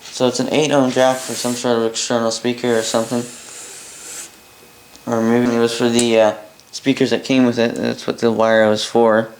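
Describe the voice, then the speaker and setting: A Canadian man casually demonstrating gear in an unscripted, halting way, thinking aloud as he goes. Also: medium pitch, level tone. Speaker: hobbyist presenter demonstrating a vintage boombox. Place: indoor room at a workbench by a window. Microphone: camera mic a short distance away. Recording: roomy, with noise and clicks.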